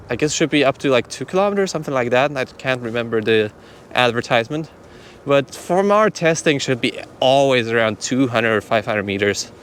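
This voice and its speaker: Male vlogger, casual hands-on review delivery, medium pitch, light outdoor urban noise. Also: fairly bright tone. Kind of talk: casual demonstration talk.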